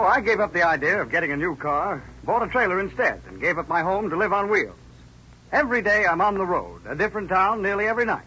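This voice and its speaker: Raspy, high-voiced earnest traveller. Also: male voice, sharp tone, heavily acted. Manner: earnest, declamatory. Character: enthusiastic trailer tourist giving a gasoline testimonial. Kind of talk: 1930s radio advertising testimonial. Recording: dull, lo-fi 1930s radio recording.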